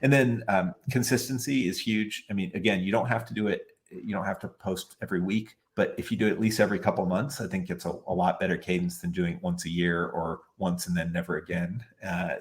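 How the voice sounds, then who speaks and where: A man speaking as a guest on an online panel, giving casual advice in a chatty way full of "like" and restarts, over a video call. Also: low-pitched. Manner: chatty, many "like" fillers and restarts.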